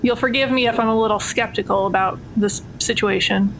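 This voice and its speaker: A woman's voice: steady tone, brisk, gravelly, fairly bright tone, poor audio. A woman playing a wry, skeptical jailed thief in a tabletop game, casual and sardonic. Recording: noticeable low rumbling background noise.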